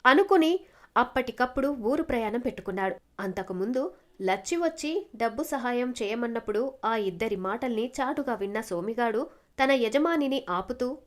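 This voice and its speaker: Woman storyteller with a slightly high voice. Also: expressive, moderate pace. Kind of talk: scripted moral story narration.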